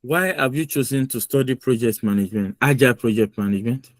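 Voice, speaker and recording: Man, firm lecturing coach, mid pitch, dry close sound, video call, repeats himself for emphasis. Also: quick pace, dry recording. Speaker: mock visa interviewer and coach. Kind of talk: mock visa interview and feedback session.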